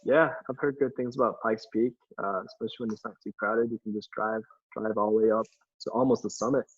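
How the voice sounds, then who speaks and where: Low-voiced man from Alabama, measured. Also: fairly even intonation, breathy edge, no room sound. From Alabama, United States. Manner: measured, explanatory, thinks aloud with pauses. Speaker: podcast guest. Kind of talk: casual conversational interview. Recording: dry, dull lo-fi recording.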